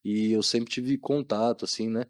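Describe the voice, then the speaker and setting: A man's voice: lowish voice, steady tone, normal speed, no room sound, clean studio sound. A middle-aged Brazilian man chatting informally as a podcast guest, using lots of colloquial tags. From Maringá, Brazil. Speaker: guest, doctor. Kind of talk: casual podcast interview talk.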